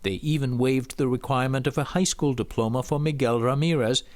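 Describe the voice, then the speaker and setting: A man narrating a news report in a measured, scripted delivery. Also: mid-range voice, steady tone, darkish tone. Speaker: news correspondent.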